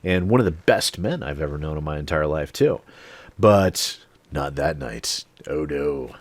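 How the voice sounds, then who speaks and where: Man, late 30s, low voice, animated storyteller, swearing, dry close sound. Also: unhurried, no room sound. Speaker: channel host. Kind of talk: storytime monologue.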